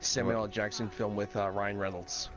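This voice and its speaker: A man on voice chat during an online match, speaking with a lowish voice. He stays laid-back, offering calm tactical suggestions between jokes. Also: normal speed, soft background music. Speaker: gamer playing with friends. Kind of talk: casual game chat.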